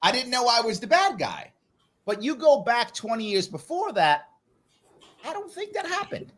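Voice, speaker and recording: A middle-aged man from the Bronx, hosting a live panel discussion in an animated, interjecting way with repeated phrases for emphasis. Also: extremely high pitch, moderate pace. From New York City, the Bronx. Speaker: panel show host.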